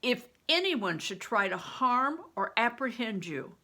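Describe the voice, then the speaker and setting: A woman voicing a big, gruff, commanding man, a traveling performer in a storybook reading, who speaks in blunt orders and oaths. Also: on the high side, very animated, measured pace, bright, forceful tone, voice pushed up, full character voice. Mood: solemn, evasive. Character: big, gruff, commanding middle-aged male traveling mummer.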